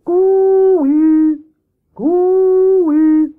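A woman voicing an anxious, excitable male hare in a children's story, speaking in an urgent, exclaiming way. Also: high voice, brisk, phone-quality sound, pitched above the performer's own voice. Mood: calling out.

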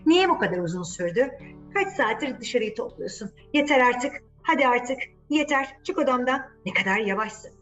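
A woman's voice: fairly high pitch, lively intonation, normal speed, a bit bright, muffled recording, soft background music, pitched above the performer's own voice. A woman voicing an impatient, snappy queen who nags and scolds her servants in a children's fairy tale. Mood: irritated, scolding. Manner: nagging, exasperated, hurrying others along.

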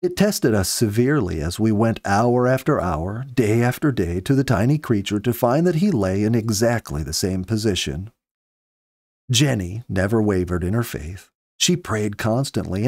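A man in his fifties reading a story aloud in measured, earnest storybook narration, close to the microphone. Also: on the low side, expressive, darkish tone, no echo. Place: small indoor home study. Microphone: close studio microphone with pop filter.

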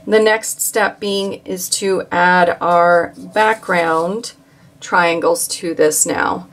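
A woman calmly walking viewers through a quilt block step by step, with occasional fillers as she works. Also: on the low side, drawn-out words, a little hiss or hum. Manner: calm, instructive, with occasional fillers. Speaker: quilting instructor. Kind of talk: step-by-step craft tutorial.

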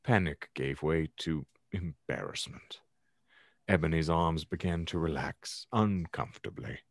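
A man's voice: extremely low pitch, a little slow, no room sound. A man reading fan fiction dramatically as a podcast host, in a theatrical manner, close to the microphone at home. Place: home room, on a video call.

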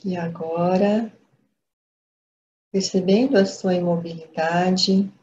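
A woman with a Brazilian accent leading a guided meditation as a teacher, with calm, gentle, measured instruction. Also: fairly low pitch. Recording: dull lo-fi audio, background noise.

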